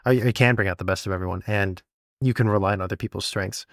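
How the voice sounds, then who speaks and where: A man's voice: lowish voice, quick pace, no room sound, studio quality. A man co-hosting a casual behind-the-scenes podcast, talking informally and repeating words as he thinks aloud. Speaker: podcast co-host and sound designer.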